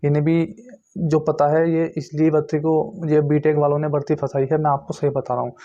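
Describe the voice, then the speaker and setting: A man's voice: a little high, little pitch movement, fairly quick, mellow. A man giving an informal explanatory update to viewers, repeating his points insistently and checking in often. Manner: repetitive, insistent explaining with frequent check-in tags. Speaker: YouTube host giving exam recruitment updates. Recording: muffled lo-fi recording.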